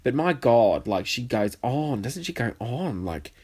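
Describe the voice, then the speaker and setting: A man's voice: a little slow, breathy edge. A young man with a nasal voice, hosting a casual solo podcast with cheeky, sweary chatter. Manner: chatty, cheeky and swearing, with lively pitch swings. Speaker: podcast host. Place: bedroom.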